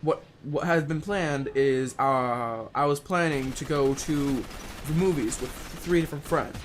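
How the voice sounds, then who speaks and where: Higher-voiced young man rambling over background noise. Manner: rambling, halting, often rephrasing himself. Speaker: online video storyteller. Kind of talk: casual, unscripted story telling.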